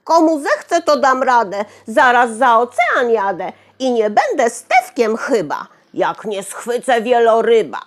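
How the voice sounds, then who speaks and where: Lady doing a cocky little storybook boy, loud n high, swoops all over, brags big. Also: sing-song, unhurried, hardly any pauses. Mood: cocky bragging. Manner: bragging, exclamatory.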